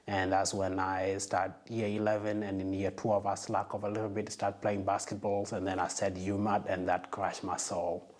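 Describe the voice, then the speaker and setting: A young man from South Sudan speaks in a low voice, reflective and earnest. He tells his own story at an unhurried pace in a relaxed sit-down interview. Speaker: medical student, interviewee. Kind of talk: casual interview.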